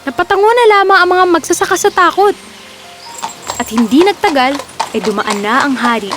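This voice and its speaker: A woman with a slightly high voice narrates a children's fairy tale. Her delivery is that of a scripted storyteller, and music plays clearly underneath. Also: lively intonation, a little fast, fairly bright tone.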